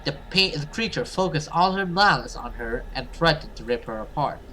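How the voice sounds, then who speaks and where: A man's voice: fairly high pitch, animated, normal speed, sharp tone, slight background noise. A man reading a horror visual novel aloud as a gamer, narrating it theatrically. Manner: theatrical, with casual swearing asides. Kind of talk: dramatic let's play narration of a horror story.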